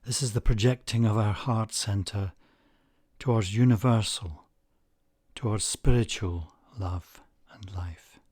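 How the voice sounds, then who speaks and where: Very slow, low-voiced man guiding meditation. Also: a little mellow, breathy edge, no echo, clean studio sound. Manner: measured, with long pauses. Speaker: meditation guide. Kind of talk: guided meditation narration.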